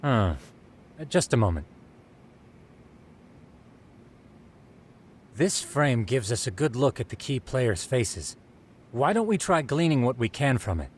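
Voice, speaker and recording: A man's voice: mid-range voice, big pitch swings, normal speed, a little hiss or hum, close to an everyday voice. A man playing an inquisitive, analytical investigator who explains things articulately in an English game dub. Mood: analytical, curious. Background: faint background music.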